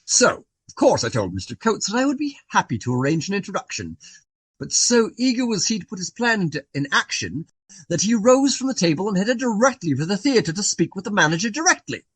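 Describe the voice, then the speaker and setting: Florid, garrulous Regency gentleman, wildly expressive. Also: sits high, brisk, husky, dry recording, full character voice. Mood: animated storytelling. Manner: long-winded, florid, genteel formal phrasing. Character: portly, garrulous, self-important Regency gentleman. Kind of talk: radio play dialogue, storytelling.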